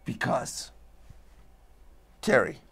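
A man hosting a talk show, declaiming in dramatic lists while his voice is going hoarse. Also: extremely slow, background noise. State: losing his voice. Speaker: show host. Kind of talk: rambling philosophical monologue. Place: studio with green-screen backdrop.

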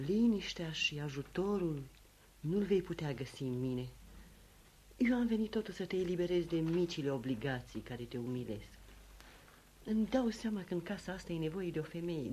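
An actress playing a solicitous, effusive aristocratic princess in old radio theatre style. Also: female voice, lowish voice, lively intonation, dark tone, close to an everyday voice. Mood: solicitous, determined. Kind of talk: vintage radio theatre acting. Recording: noisy vintage radio recording.

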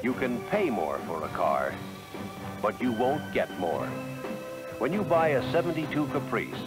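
An American man narrates a 1970s promotional film over clear background music. His medium-pitched voice moves with animated intonation, and he delivers the script as a polished, emphatic announcer. The sound is that of a vintage film soundtrack. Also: measured pace, low-quality recording.

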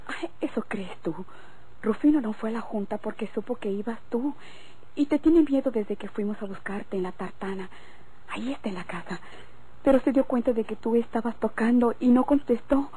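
Woman acting nervous mexican country sweetheart, highish soft dark tone, old radio drama, bit of hiss. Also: moderate pace, natural voice. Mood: reluctant, pleading. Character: reluctant, anxious rural woman, bandit's secret sweetheart. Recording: noisy old radio recording.

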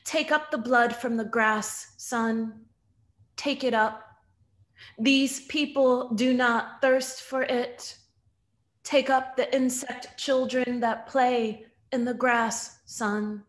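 A woman in her thirties reading poetry with deliberate, measured phrasing. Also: fairly high pitch, steady tone. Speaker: poet reading at an online event. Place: room at home.

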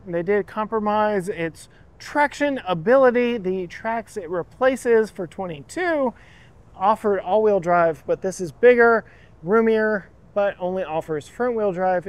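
Man with a high voice, car reviewer, walking tour of an auto show, chatty and enthusiastic, casual unscripted delivery. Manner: enthusiastic, chatty, gushes over details; directs the camera operator while talking. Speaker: car reviewer and channel host.

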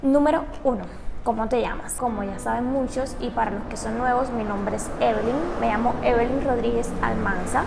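A woman's voice: fairly high pitch, steady tone, moderate pace, gravelly, noisy background. A woman in her late twenties from Cuba with a Cuban Spanish accent, chatting to camera as she answers viewer questions one by one in a casual vlog. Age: 20s. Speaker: YouTuber. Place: indoors, large room with tables.

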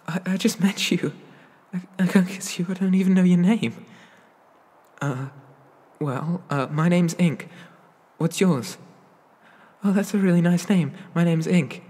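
A man playing a shy, awkward, inexperienced young incubus demon in an ASMR roleplay, stammering and apologetic, with a husky, breathy voice. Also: on the high side, a little mellow, big-room sound. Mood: nervous, embarrassed.

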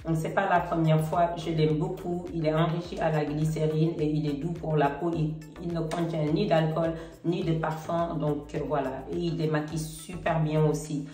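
A middle-aged woman with a cold and a slightly congested voice, chatting casually to camera about products with a relaxed, hesitant manner. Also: sits low, moderate pace, few pauses. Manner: relaxed, hesitant, many fillers. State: has a cold. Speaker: beauty vlogger. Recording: roomy, slightly distant, faint music.

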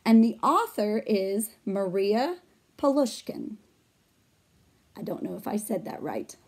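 A woman in her thirties reading a picture book aloud to children in a gentle, expressive way, explaining as she goes. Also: mid-range voice, slow pace. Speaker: storytime reader. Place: small furnished room at home.

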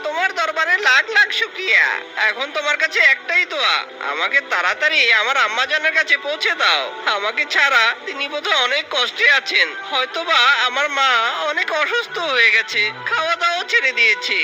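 A woman's voice: high voice, very animated, a little fast, pushed and bright, soft background music, full character voice, low-quality recording. A woman voicing a devout young boy in a cartoon, speaking in an earnest, plaintive way. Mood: grateful, prayerful. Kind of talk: melodramatic cartoon dubbing.

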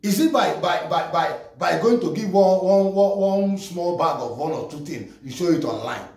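A man's voice: high voice, animated, normal speed, a little mellow. A middle-aged man with a Nigerian accent, ranting straight to camera in a small room, emphatic and repetitive, often stumbling over repeated words. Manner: emphatic, repetitive, stammering restarts, gesturing. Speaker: vlogger. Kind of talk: impassioned direct-to-camera rant. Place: small plain room indoors. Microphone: camera a few steps away. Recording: echoey room, voice distant from mic.

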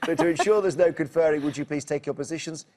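A man's voice: on the high side, quick pace, darkish tone, a little hiss or hum. A middle-aged man hosting a TV quiz show in a studio, chatty and jokey. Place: TV studio set.

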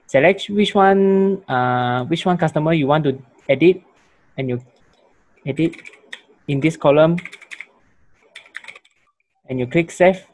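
A young man, a student presenter, giving a software demo with frequent restarts, fillers and broken phrases. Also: a little high, slow pace, pauses often. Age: young adult. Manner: stumbling, many restarts and fillers.

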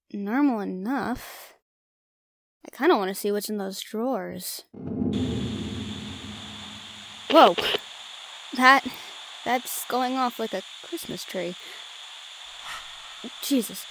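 A woman's voice: on the high side, a little hiss or hum, rough recording. A woman acting a cocky young ghost-hunting vlogger in an audio drama, brash and teasing. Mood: nervous. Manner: brash, teasing, talks herself up.

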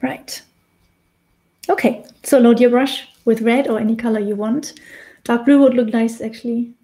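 A woman's voice: fairly high pitch, steady tone, moderate pace, dark tone. A woman in her thirties with a German accent, casually teaching face painting on a live stream in a small room, chatty and laughing often. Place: small indoor room with decorated wall.

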